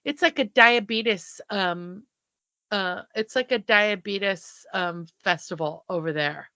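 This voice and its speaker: A woman's voice: medium pitch, slow, bright, forceful tone, no room sound. A woman co-hosting a podcast in casual chat, teasing and cracking playful quips. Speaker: podcast co-host.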